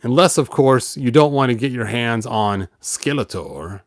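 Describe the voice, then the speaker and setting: A man voicing a haughty, jealous villain sorceress in a storybook, bold and deliberate as she bargains. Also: mid-range voice, lively intonation, no echo, studio quality. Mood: defiant, sly.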